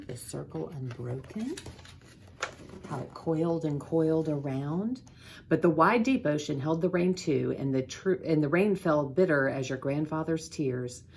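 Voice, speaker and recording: A woman in her forties reading a children's picture book aloud in an expressive, deliberate way, pausing to put questions to listeners. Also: low-pitched, vocal fry, a little hiss or hum. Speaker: school principal, guest storybook reader. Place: small office, indoors.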